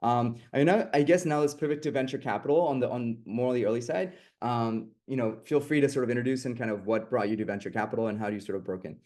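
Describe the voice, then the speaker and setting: A man's voice: mid-range voice, quick pace, hardly any pauses, a little mellow. A man moderating an online finance panel, rambling with lots of fillers and restarts.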